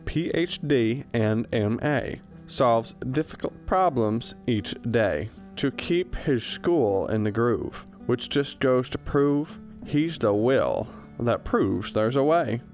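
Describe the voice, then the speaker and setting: A rumpled older professor who pokes fun at himself, played by a man in a radio comedy. He talks in a folksy, self-deprecating way at a middling pitch. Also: lively intonation, slow pace, hardly any pauses, a little mellow, soft background music. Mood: jocular. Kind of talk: radio drama acting.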